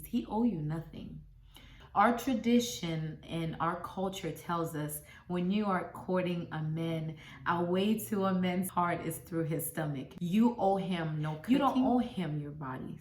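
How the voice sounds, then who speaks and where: A woman born in Congo and raised in America, giving motivational life advice in an emphatic, preachy way, recorded on a phone. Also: on the low side. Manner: emphatic, preachy, stacks rhetorical questions. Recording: echoey room.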